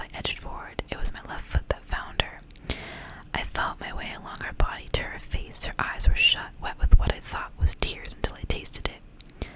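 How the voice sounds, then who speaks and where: A woman whispering softly and breathily in an ASMR style, hushed, as she reads and chats close to the mic. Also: poor audio. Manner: hushed, repeats words softly. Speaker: ASMR host reading stories aloud. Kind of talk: whispered ASMR, story reading and casual rambling.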